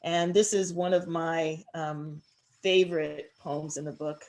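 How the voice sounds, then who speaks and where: A woman author presenting and reading her poetry in a measured, expressive way. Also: deep voice. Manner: measured, deliberate, expressive delivery.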